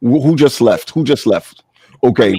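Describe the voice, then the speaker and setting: A man's voice: medium pitch, expressive, moderate pace, mellow, husky. A man hosting an online conversation, chatty and affirming as he brings guests in. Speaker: host.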